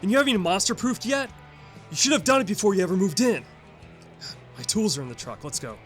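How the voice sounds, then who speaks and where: A man plays an older, fatherly uncle with a fairly high voice for a man. He talks in a folksy, matter-of-fact, earnest way in an audio comedy sketch, with music clearly behind him. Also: lively intonation, fast talker, a bit bright. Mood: urgent, incredulous.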